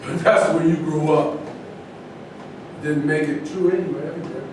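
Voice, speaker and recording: A man's voice: fairly even intonation, normal speed, close to an everyday voice. A man playing a blunt, aggrieved man in a staged reading of a play. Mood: needling. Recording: very echoey hall, distant mic, rumbling noise.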